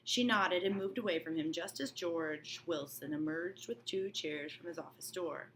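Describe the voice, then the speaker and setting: A woman's voice: sits low, moderate pace, a bit bright, rough recording. A woman reading a novel aloud as a teacher, expressively, breaking off for informal explanatory asides. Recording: clear background room noise.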